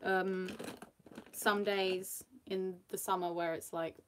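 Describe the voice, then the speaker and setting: A woman's voice: mid-range voice, flat delivery, slow pace, a bit bright, a little hiss or hum. A young woman from the UK with a slightly Australian-tinged British accent, chatting casually while doing her makeup on camera, rambling with fillers and frequent little laughs. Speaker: makeup vlogger. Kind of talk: casual get-ready-with-me chat.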